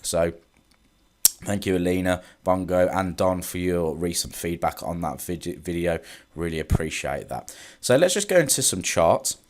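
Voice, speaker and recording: Man with a stuffy head cold, low voice, loose chart recap. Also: moderate pace. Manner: relaxed, hesitant, many ums and restarts. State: congested from a cold. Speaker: forex trading analyst. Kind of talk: casual market recap with charts.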